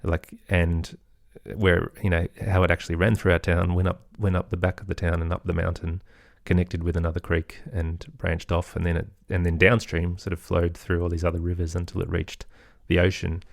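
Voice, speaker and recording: A man's voice: sits low, completely flat delivery, brisk, creaky, hardly any pauses, no room sound, studio quality. A middle-aged man giving thoughtful, earnest answers as an interview guest in a conversational way. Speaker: interview guest, podcaster and musician.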